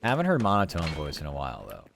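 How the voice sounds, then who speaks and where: A man's voice: a bass voice, wildly swooping pitch, quick pace, vocal fry, background noise. A man livestreaming gameplay, chatting casually with viewers in a cozy, easygoing voice, musing aloud and trailing through his thoughts. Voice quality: comfy, cozy tone.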